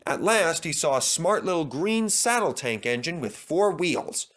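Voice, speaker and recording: A man's voice: a little high, very animated, a little slow, a bit bright. A man reading a scripted children's story as narrator. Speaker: storyteller, reader.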